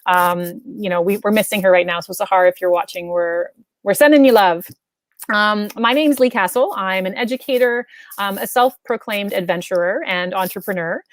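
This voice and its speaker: A woman's voice: mid-range voice, no room sound. A woman with a Canadian accent, a teacher and co-author, chatting effusively in a casual, unscripted introduction. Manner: effusive, enthusiastic. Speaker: kindergarten teacher and co-author co-hosting.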